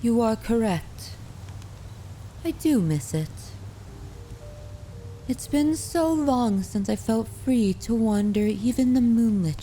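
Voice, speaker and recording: Woman acting a slow, mellow, courtly vampire mistress. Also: medium pitch, a little hiss or hum. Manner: formal, unhurried, aristocratic phrasing. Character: centuries-old noble vampire mistress, widowed, courtly, commanding, wistful. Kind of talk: ASMR roleplay audio drama.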